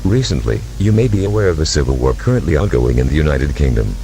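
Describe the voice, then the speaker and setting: A man playing a grave official on a secret briefing tape, with a very deep voice that swoops widely as he speaks. He sounds formal and commanding. The tape carries a noisy hiss and a steady hum. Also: fast talker, creaky, full character voice. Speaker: announcer of a classified briefing tape. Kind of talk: scripted amateur audio drama.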